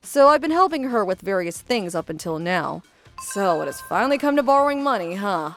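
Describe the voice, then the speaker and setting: A woman voicing a young office worker who narrates her own story in a manga dub, gentle and measured. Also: mid-range voice, big pitch swings, faint music behind.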